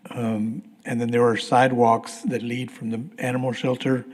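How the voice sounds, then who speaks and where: A hoarse man walks a committee through a construction update, halting and restarting as he goes. His delivery stays flat and even. The meeting-room livestream carries a long hall reverb. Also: medium pitch. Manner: plain, halting, many restarts and fillers. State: losing his voice, clears throat. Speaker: construction manager. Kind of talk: public meeting presentation.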